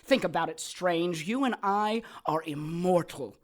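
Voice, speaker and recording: An actress playing a sadistic, cynical immortal villainess in an audio drama, taunting in a deliberate, theatrical way. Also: female voice, fairly low pitch, close to an everyday voice. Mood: smug, philosophical. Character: gleeful immortal female villain who controls corpses, sadistic and cynical.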